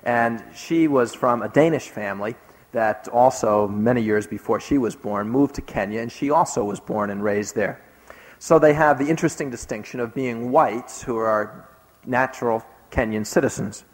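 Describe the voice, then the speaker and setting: A man recounts his travels with earnest emphasis. His voice sits at a middle pitch and carries the long reverb of a large hall. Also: moderate pace. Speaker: speaker recounting a trip. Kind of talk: recounting a trip in a talk.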